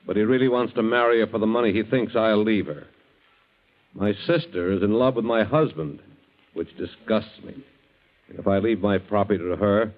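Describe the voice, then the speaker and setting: Man as a grave, deep-voiced doctor. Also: close to an everyday voice. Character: grave male doctor reading a letter aloud. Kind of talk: 1940s radio drama, dramatic read-aloud. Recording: dull 1940s radio recording.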